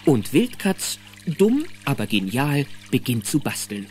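A man's voice: medium pitch, sing-song, unhurried, darkish tone, soft background music. A man narrating a children's audio drama in a scripted storytelling style.

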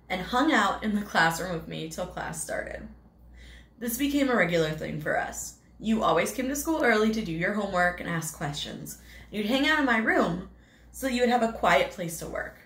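A woman's voice: mid-range voice, a bit bright, roomy sound. A woman teacher giving a heartfelt, tearful video message filmed indoors on a phone. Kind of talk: recorded video tribute.

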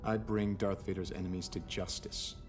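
A man's voice: low-pitched, little pitch movement, moderate pace, music in the background, lightly acted. An actor playing a curt, brooding young male assassin in a video game, speaking tersely and guardedly. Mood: curt, commanding. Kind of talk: scripted game cutscene dialogue.

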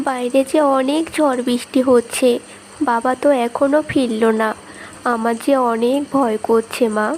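A woman's voice: on the high side, steady tone, a little slow, darkish tone, slight background noise, lightly acted, rough recording. A woman voicing a sweet, dutiful young daughter in a village cartoon, with an earnest, childlike manner. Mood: sad, worried. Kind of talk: Bengali moral-tale cartoon dub.

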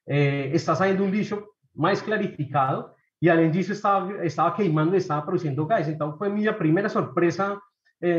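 A Colombian-accented man in his thirties, native Spanish speaker, gives an academic presentation in a hesitant, stop-start way. His voice sits fairly high for a man. The sound is dull and lo-fi, with a roomy echo. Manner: hesitant, many fillers and repeated words. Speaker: researcher presenting a talk. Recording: echoey room, dull lo-fi sound.

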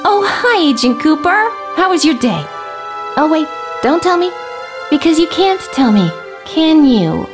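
An actress playing a sassy young 1950s housewife in an arch, theatrical radio drama style. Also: female voice, high-pitched, big pitch swings, unhurried, music in the background. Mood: sarcastic, breezy.

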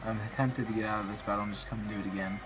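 A slightly raspy young man, a bit sick, commentating his online game battle in a rambling, hesitant way with many ums. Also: lowish voice, steady tone, very fast, rough recording. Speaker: gaming YouTuber. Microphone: phone filming a screen. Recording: music playing behind.

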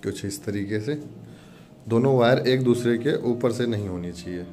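A man's voice: medium pitch, moderate pace, mellow. A man presenting an informal product demonstration, instructing viewers step by step and addressing them as friends. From India.

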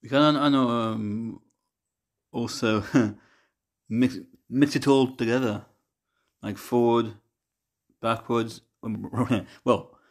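A man casually demonstrating an app, talking in a deliberate, polite way. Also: medium pitch, fairly even intonation. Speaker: app tester running a ghost box session.

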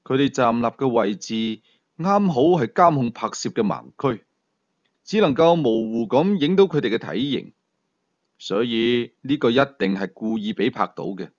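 A man's voice: medium pitch, expressive, normal speed, highs cut off. A man reading a thriller story as dramatic scripted narration. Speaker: audiobook storyteller.

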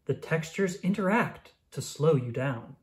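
Expressive children's book narrator. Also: mid-range voice, measured pace. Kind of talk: scripted read-aloud of a children's science book.

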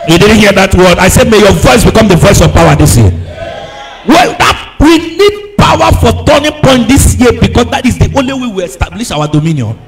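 High-pitched, animated male preacher. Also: a little fast, faint music behind, poor audio. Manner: emphatic, repeats phrases, fires rhetorical questions at the congregation; exhorting, breaking into shouts. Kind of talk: charismatic sermon with call and response.

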